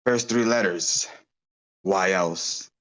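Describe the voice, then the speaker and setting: Man playing a low, dry, bossy gang leader. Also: takes it slowly, a bit bright. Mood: dry, impatient. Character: sardonic male ringleader of bumbling murderers. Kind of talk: amateur script table read.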